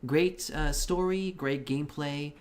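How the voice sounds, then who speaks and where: A man's voice: a little high, measured pace. A man from Belarus whose first language is Russian, chatting casually and with plenty of swearing while streaming a game. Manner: rambling and profane, with many restarts. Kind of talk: casual live-stream chat while gaming.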